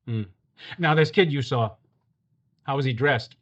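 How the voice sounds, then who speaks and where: An actor playing a terse police detective who narrates the case in a clipped, matter-of-fact way. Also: male voice, mid-range voice, unhurried, fairly bright tone, lo-fi sound. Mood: deadpan.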